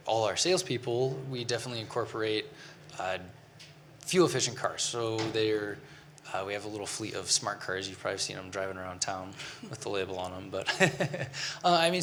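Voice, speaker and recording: A man on a panel answering in a relaxed, casual way with light chuckles. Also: on the low side, normal speed, a little hiss or hum. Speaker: brewer on a panel. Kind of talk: panel discussion.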